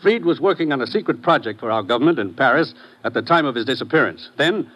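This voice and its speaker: An actor playing a brisk American government commissioner, giving measured, businesslike briefings in a 1950s radio drama. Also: male voice, mid-range voice, expressive, a bit bright, highs cut off. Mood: grave, businesslike.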